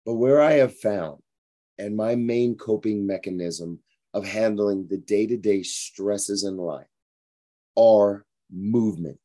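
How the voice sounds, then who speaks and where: Hoarse, tired man in his forties. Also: on the low side, takes it slowly, mellow. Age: 40s. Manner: rambling, frank, repeats himself. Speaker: fitness podcast host. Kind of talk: casual motivational monologue.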